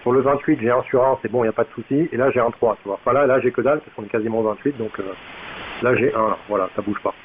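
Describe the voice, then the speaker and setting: A man's voice: mid-range voice, rapid-fire. A man explaining things in casual technical chat as an amateur radio operator over a two-way radio. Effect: two-way radio transmission. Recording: thin phone-like radio sound, faint hiss.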